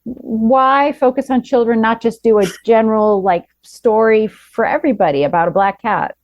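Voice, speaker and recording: A woman hosting a podcast, asking questions in a relaxed, conversational way. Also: on the high side, normal speed, no room sound. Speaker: podcast host. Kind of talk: casual interview chat. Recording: dry, dull lo-fi sound.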